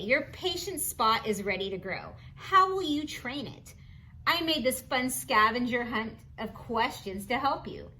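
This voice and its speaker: A woman's voice: high-pitched, pushed and bright, slight background noise, low-quality recording. A woman reading a picture book aloud to young children, adding chatty asides in an encouraging, instructional manner. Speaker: children's read-aloud host.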